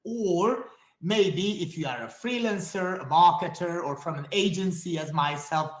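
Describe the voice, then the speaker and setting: A man's voice: high voice, measured pace, roomy sound. A man from Hungary, a native Hungarian speaker with a Hungarian accent, giving an enthusiastic, friendly online webinar presentation and speaking straight to his audience.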